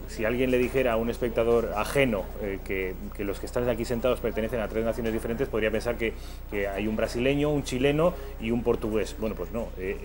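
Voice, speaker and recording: A man's voice: on the low side, background noise. A man from Valencia moderating a televised political debate, insistently pressing his guests. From Valencia, Spain. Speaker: host, moderator.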